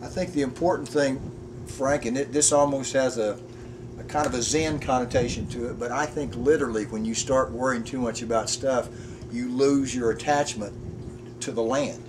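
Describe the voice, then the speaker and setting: A man in his fifties talking earnestly and reflectively in a casual porch conversation, often restarting his sentences. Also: medium pitch. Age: 50s. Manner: earnest, halting, with frequent restarts. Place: outdoors on a front porch. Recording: distant mic with background noise.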